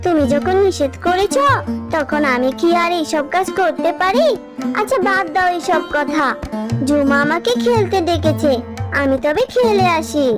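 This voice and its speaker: A woman voicing a lively, cheeky little girl fox in a children's cartoon, chattering in a childlike way. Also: very high voice, measured pace, music in the background. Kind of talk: cartoon character acting.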